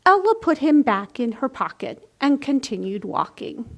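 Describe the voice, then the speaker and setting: A woman's voice: sits high, sing-song, moderate pace, gravelly. A woman reading a picture book to young children as a storytime host, expressively and with simple explanatory asides. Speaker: library storytime reader.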